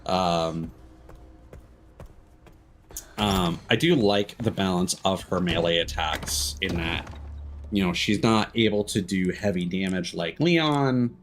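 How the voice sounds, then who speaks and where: A man's voice: low-pitched, takes it slowly, pauses often, slight background noise. A man giving casual, opinionated, sweary commentary as he streams a game live.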